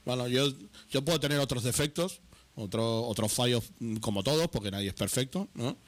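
A man's voice: mid-range voice, fairly quick, dry recording. A man from co-hosting a casual rock radio show, rambling and laughing, with loose, chatty talk. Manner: rambling, laughing, peppers speech with "tío". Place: radio studio.

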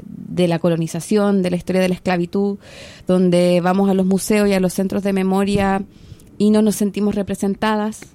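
A woman from Chile, a researcher and podcast guest, speaking in an earnest, measured and passionate way. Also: medium pitch, dark tone. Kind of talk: podcast interview.

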